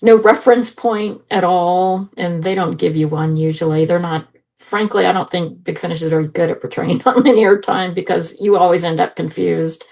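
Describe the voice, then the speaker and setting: A woman's voice: lowish voice, fairly even intonation, normal speed, creaky, a little mellow, rough recording. A woman sharing her opinions in a casual podcast review discussion. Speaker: podcast panelist.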